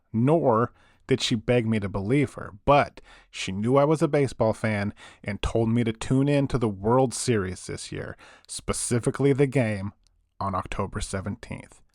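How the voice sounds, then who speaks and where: A man's voice: fairly low pitch, no echo, studio quality. A man playing a late-night paranormal radio host, telling his stories in suspenseful, theatrical short phrases. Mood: intrigued.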